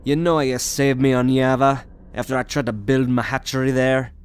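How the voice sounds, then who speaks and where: Man voicing a bitter, gruff alien hoarder. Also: mid-range voice, little pitch movement, moderate pace, lightly acted. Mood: grudging. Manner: gruff, indignant, haggling. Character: shady, bitter green male alien collector, grieving widower. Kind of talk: ASMR roleplay audio drama.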